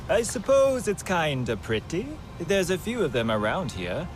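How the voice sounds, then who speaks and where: A man playing a young alien researcher in a video game, earnest and eager, talking in a halting, animated way. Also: a little high, soft background music. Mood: eager, hopeful. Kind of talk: video game character acting.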